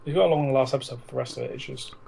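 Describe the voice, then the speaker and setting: A British man with a gravelly, mid-pitched voice chats casually as a podcast co-host. He teases and swears freely. There is a faint noise on the home recording. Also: rapid-fire, dark tone. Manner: teasing, sweary. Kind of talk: casual banter between friends.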